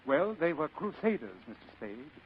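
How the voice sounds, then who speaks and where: An actor playing a genteel, scheming fat crime boss in old radio drama style, pompous, florid and ornately courteous, with a husky voice. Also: male voice, on the high side, sing-song, normal speed, slight background noise. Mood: grand, savoring. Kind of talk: 1940s-style radio acting. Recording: muffled old radio recording.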